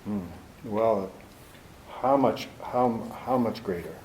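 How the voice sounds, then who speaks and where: An actor playing a tough, wisecracking private detective who drawls in a dry, sardonic way, in a 1940s radio drama. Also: male voice, lowish voice, takes it slowly, darkish tone, slight background noise. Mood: stalling. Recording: roomy old radio broadcast recording.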